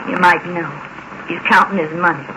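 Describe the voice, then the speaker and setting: A woman's voice: on the low side, steady tone, a little fast, natural voice. An actress playing a put-upon, patient farm woman with a rural American accent, plain-spoken and placating, in 1940s radio drama style. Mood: guarded, reproachful. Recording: muffled, hissy 1940s radio recording.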